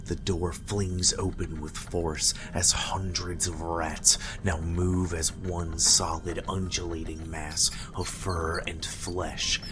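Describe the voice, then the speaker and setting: A man narrating scripted dark fantasy horror as a game master, with deliberate, ornate dramatic delivery. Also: deep voice. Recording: music behind the voice.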